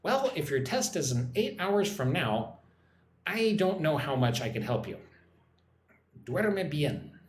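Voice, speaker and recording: An American man runs a relaxed English lesson on a livestream, reading out viewer comments and chatting back by name. His voice rises and falls in an animated way. The room has a noticeable echo with a faint hiss underneath. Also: a little high, slow pace, long pauses. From United States. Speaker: English teacher. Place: home in Vietnam.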